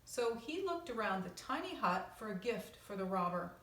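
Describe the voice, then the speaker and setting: Lady teacher doing storytime for kids, expressive, normal pitch, kinda echoey and far from the mic. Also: normal speed. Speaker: teacher reading a picture book to her class. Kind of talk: read-aloud storytelling with casual asides to children. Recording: roomy echo, distant microphone.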